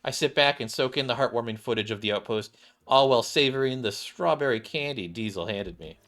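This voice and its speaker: A man's voice: mid-range voice, a little fast, a bit bright. A man reading video game dialogue aloud as a streamer, acting out parts and sometimes stumbling over lines and rereading them. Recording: music playing behind the voice.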